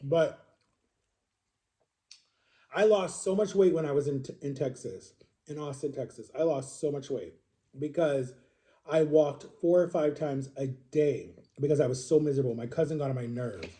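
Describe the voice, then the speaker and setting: A man in his thirties with a slightly high but very dark, relaxed tone. He talks to his viewers as if chatting with friends. His storytelling rambles, circling back to correct himself and repeating words for emphasis. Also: normal speed. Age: 30s. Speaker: vlogger.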